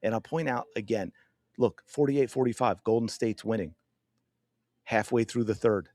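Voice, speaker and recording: Male sports podcast host, slightly low voice, hoarse, emphatic, dry close mic. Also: normal speed, no room sound. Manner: emphatic, animated, addresses viewers directly. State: losing his voice. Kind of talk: opinionated sports commentary.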